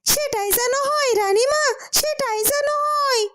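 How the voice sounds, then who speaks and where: A woman's voice: very high voice, a little slow, fairly bright tone, slight background noise, pitched above the performer's own voice. A woman voicing an anxious, loyal palace maid in a melodramatic cartoon, with a plaintive manner.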